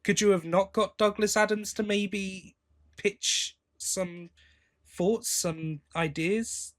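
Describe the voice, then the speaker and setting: A man's voice: high-pitched, fairly even intonation, slow, fairly bright tone. A man hosting a podcast, talking in a casual, conversational discussion style. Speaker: podcast host.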